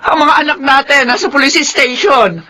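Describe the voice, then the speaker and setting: A woman's voice: high-pitched, moderate pace, pushed and bright, slight background noise. An actress playing a fretful mother of two grown sons in a Filipino radio drama, with a Filipino accent. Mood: panicked, tearful.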